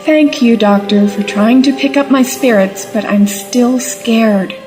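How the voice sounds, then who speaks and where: An actress playing an anxious, doubtful young companion to a time traveller in an audio drama, speaking in an earnest, troubled, hesitant way. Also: female voice, a little high, measured pace, over music, close to an everyday voice. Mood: frightened, troubled.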